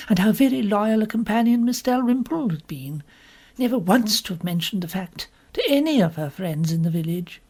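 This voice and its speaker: A man voicing a prim, gossipy spinster who narrates an audiobook story in fussy, measured asides. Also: high-pitched, a little mellow, breathy edge, exaggerated voice. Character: prim, gossipy English village spinster telling her story. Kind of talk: first-person audiobook narration.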